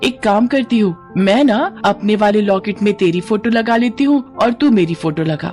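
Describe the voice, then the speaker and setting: A woman's voice: moderate pace, soft background music, natural voice. A woman doing a cheerful, playful little girl. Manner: high, lively and warm-hearted.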